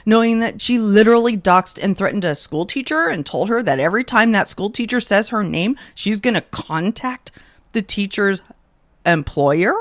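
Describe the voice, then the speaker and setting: A woman host giving scripted true-crime commentary in a measured, pointed way, leaning on rhetorical questions. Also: medium pitch, expressive, dry recording.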